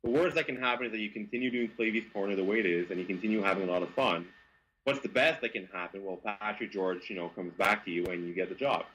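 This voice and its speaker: A man from Quebec with a Quebec French accent, telling stories as an interview guest in a lively, stammering way. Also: lowish voice, fast talker, slight background noise, rough recording. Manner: animated, frequent stammering restarts. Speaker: guest, car reviewer. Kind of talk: casual interview storytelling.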